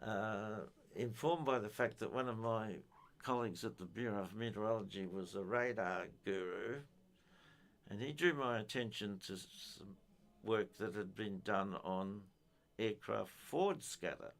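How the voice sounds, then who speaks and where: Older man, informal technical talk, halting delivery, methodical, explanatory. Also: fairly low pitch, creaky. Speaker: amateur radio enthusiast giving a technical talk.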